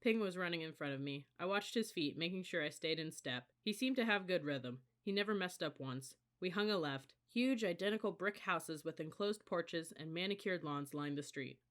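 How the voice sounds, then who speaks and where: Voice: medium pitch, expressive, normal speed, few pauses, fairly bright tone. An audiobook reader giving a scripted first-person narration of a story.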